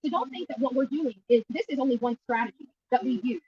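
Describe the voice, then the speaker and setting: Woman, activist interviewee: high, rapid, emphatic, indignant delivery, repeats for force, dull handheld sound. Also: husky, far from the mic. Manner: emphatic, indignant, repetitive phrasing. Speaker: YouTube livestreamer and missing-person activist being interviewed. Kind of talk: impromptu press interview. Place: at a county jail, press interview.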